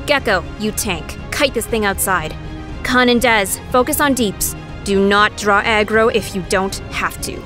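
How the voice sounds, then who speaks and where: A woman playing a brisk, bossy guild tactician in a fantasy audio drama, exasperated and commanding. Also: mid-range voice, over music, natural voice.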